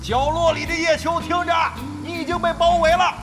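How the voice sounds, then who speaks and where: A crude, swaggering older gamer taunts at length in a very high voice. He is brash and bandit-like, drenched in long reverb, with clear music behind him in this audio drama. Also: flat delivery, fairly quick. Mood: taunting. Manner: brash, taunting, coarse-tongued and long-winded.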